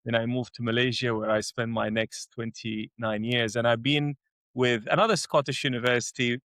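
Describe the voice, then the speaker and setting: A man's voice: mid-range voice, steady tone, moderate pace, fairly bright tone, no room sound, studio quality. A middle-aged man from Iraq talking in a thoughtful conversation, with frequent restarts and fillers. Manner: hesitant, many restarts and fillers. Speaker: educator, co-host. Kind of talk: conversational dialogue.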